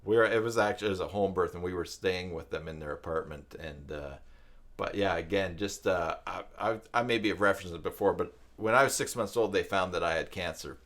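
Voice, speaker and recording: A man's voice: deep voice, a little fast, a bit bright. A middle-aged man hosting a casual live stream, rambling and thinking aloud, often repeating himself. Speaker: live stream host, web tutorial maker.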